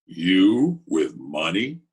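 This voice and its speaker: A man playing a laconic, scheming Broadway tough guy in an old-time radio drama, with a husky voice and terse, deliberate delivery. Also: sits low, wildly swooping pitch, exaggerated voice. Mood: terse, probing. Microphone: video call recording.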